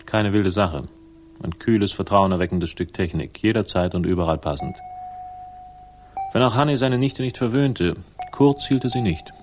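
A man's voice: lowish voice, normal speed, a little mellow, lightly acted. An actor playing a wry, world-weary male private investigator, narrating dryly in a 1960s German radio crime drama. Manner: dry, wry, ironic. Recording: music behind the voice. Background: music.

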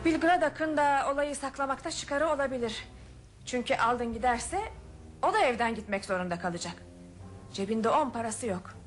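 High female voice, actress as composed suspicious daughter of a rich house, radio drama, firm formal delivery, music behind. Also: moderate pace, close to an everyday voice. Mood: cool, accusing. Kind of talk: radio theatre acting.

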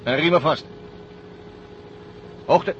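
Brusque astronaut under hypnosis, commanding, clipped, radio-play style; muffled old recording with heavy cabin hum. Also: male voice, a little high, slow, fairly bright tone. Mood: brisk command. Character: brusque hypnotized astronaut piloting a moon craft, obedient to orders. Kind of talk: 1970 Dutch science-fiction radio drama acting.

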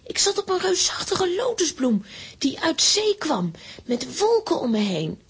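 A woman voicing an earnest, excitable little girl in a children's audio drama, with an emphatic, childlike delivery. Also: extremely high pitch, measured pace, full character voice. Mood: elated.

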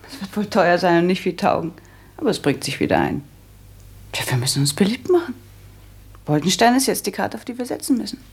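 An actress playing a shrewd, coolly ambitious young bride in a radio play, speaking in a husky, breathy voice. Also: female voice, on the low side, very animated, a little fast, long pauses, exaggerated voice. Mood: cool, calculating. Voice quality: husky, breathy, near-whispered. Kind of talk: literary radio play acting.

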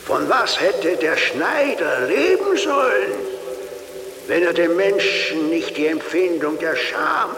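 A drunken, pompous mock preacher in a radio drama. He declaims very slowly in a gravelly, high-swooping voice, inside a long cavernous reverb. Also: a bit bright, exaggerated voice. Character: drunken journeyman delivering a mock sermon, pompous and crude. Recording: cavernous echo with long reverb, faint hiss.

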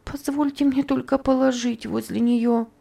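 A woman's voice: sits high, fairly even intonation, moderate pace, creaky, mellow, far from the mic, pitched above the performer's own voice, exaggerated voice. A woman voicing a grief-stricken young girl who wails and pleads through tears. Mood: pleading. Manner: wailing, tearful.

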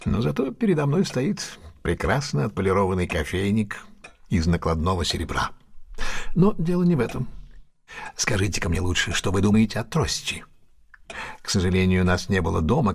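Husky male detective for audio drama: confident, ironic, widely swooping intonation. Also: sits low, heavily acted. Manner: theatrical, teasing, deliberate. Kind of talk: Russian audio drama acting.

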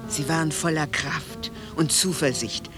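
A woman's voice: low-pitched, measured pace, over music. An actress playing a gracious, mysterious, nostalgic older lady in a German radio drama, speaking formally. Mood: wistful.

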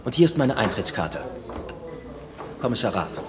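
A man's voice: mid-range voice, sing-song, normal speed, background noise, natural voice. An actor playing a blunt, stubborn male police detective who speaks tersely and presses people hard in a radio play. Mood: pressing.

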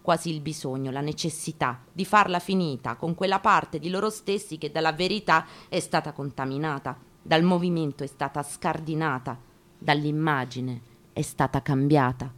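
A woman's voice: low voice, moderate pace. A woman performing a dramatic literary reading in a solemn, declamatory manner, phrase by phrase. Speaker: actress reading on stage.

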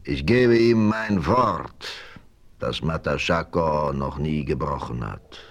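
A proud chief in a radio play gives his word in a very deep, rumbling voice. He speaks slowly and with ceremony, dwelling on each phrase. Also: lively intonation, heavily acted. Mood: solemn. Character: proud Osage chief, solemn and grave. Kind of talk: 1970s radio play acting.

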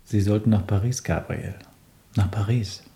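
An actor playing a smug, wealthy, worldly dandy in a radio drama, suave and condescending. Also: male voice, lowish voice, fairly even intonation, moderate pace, dark tone, breathy edge.

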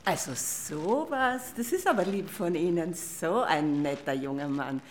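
A woman's voice: fairly low pitch, expressive, measured pace, very noisy. An actress playing a sweet, fussy old lady in a German radio play, chatty and gushing. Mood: delighted, fussy.

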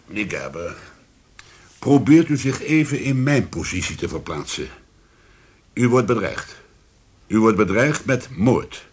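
A man's voice: lowish voice, very animated, measured pace, long pauses, breathy edge, heavily acted. A man playing a formal, probing police commissioner in a radio drama, polite but insistent. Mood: urgent. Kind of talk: Dutch radio drama acting.

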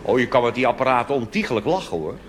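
A man's voice: medium pitch, animated, very fast, noisy background. A Dutch man performing a chatty, wry cabaret monologue full of asides, recorded live on stage. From Netherlands. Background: audience laughter and applause.

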